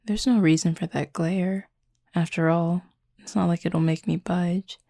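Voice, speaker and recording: A woman voicing a confident, flirty werewolf pack leader in an intimate audio roleplay, with a husky, breathy, gravelly voice and a teasing, sardonic drawl. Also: lowish voice, fairly even intonation, unhurried, dark tone, no room sound, studio quality.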